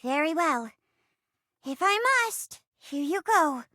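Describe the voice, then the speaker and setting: A woman's voice: high voice, lively intonation, slow pace, bright, forceful tone, no echo, studio quality, heavily acted. A woman voicing a small, earnest, plucky young female forest sprite in a video game, speaking in grand, melodramatic mock-archaic phrases. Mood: cheerful, matter-of-fact. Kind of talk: theatrical fantasy role-play in a video game.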